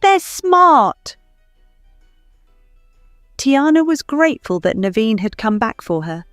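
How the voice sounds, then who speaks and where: A woman reading a scripted children's story as narrator, in an expressive storytelling manner. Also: a little high, normal speed, dry recording, clean studio sound. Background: faint music.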